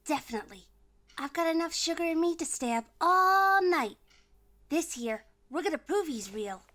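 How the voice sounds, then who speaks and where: A woman voicing a bossy, excitable young mouse child in an audio drama, talking in an impatient, emphatic way. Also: very high voice, moderate pace, full character voice. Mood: eager, confiding. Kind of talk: audio drama cartoon acting.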